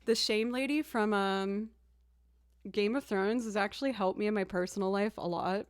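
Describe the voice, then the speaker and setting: A young woman with a low alto voice, chatting casually on a live stream and thanking viewers as she talks. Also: moderate pace, dry recording. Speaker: streamer and musician.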